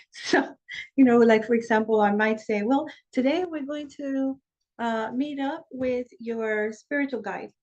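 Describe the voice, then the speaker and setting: A woman teaching shamanic practice, leading a guided journey meditation over a video call, calm and slow when guiding and chatty when explaining. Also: a little high, highs cut off. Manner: chatty and candid when explaining, with soft laughs.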